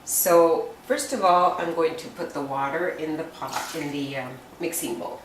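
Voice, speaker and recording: A woman's voice: low-pitched, a little slow, distant mic, background noise. A woman walking through a recipe step by step in her home kitchen, talking in a homely, chatty way as she works. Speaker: home cook presenting a recipe. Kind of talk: step-by-step cooking demonstration. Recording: echoey kitchen, voice from off-mic. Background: clinking pots and cutlery.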